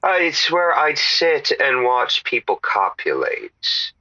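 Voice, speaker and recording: A man's voice: mid-range voice, huge pitch swings, slow, bright, forceful tone, no room sound, muffled recording, heavily acted. A man playing a pompous, randy caller to a radio advice show in a comedy audio drama, savouring his words theatrically. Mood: smug, savouring.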